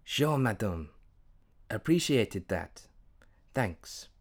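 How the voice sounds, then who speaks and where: A man's voice: on the low side, big pitch swings, drawn-out words, exaggerated voice. A man voicing an earnest, self-doubting male eagle in a storybook, speaking in a hesitant, confiding way. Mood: wry, grateful.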